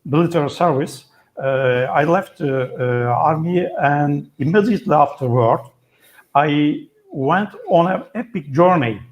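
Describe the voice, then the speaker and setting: An older man from Turkey speaks English as a second language, his native tongue being Turkish. His voice is a touch high for a man, and his delivery is measured and formal, with frequent pauses and fillers. He comes through a video call. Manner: measured, halting, formal phrasing. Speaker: guest, retired army colonel and traveler. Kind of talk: webinar guest talk.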